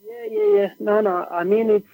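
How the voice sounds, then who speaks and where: A young man from Norway whose first language is Norwegian, chatting casually as a musician guest on a remote call, relaxed and slangy. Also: high voice, moderate pace, vocal fry, no room sound. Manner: relaxed, slangy, says "bro" and "like" often. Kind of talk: casual interview chat. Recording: tinny phone-like remote line.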